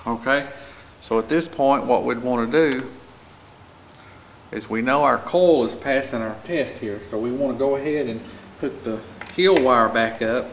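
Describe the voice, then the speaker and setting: A man's voice: mid-range voice, a little slow. American man teaching repair, echoey noisy room. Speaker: small engine repair instructor. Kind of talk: step-by-step hands-on repair tutorial. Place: indoor repair shop at a workbench. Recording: echoey room with clear background noise.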